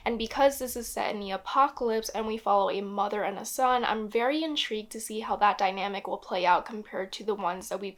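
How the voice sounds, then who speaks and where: A young woman hosts a casual book haul vlog, speaking with upbeat enthusiasm. She has a slight cold. Her voice sits at an ordinary middle pitch. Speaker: book vlogger.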